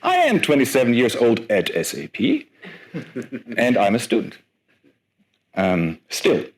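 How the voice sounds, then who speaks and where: A man in his forties giving a lively conference talk as guest speaker, chatty and self-deprecating with many fillers. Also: mid-range voice, measured pace. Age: 40s.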